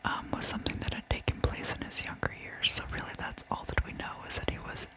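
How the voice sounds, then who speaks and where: A woman whispering a true crime story in ASMR style, with a soft, breathy, unvoiced delivery and deliberate storytelling.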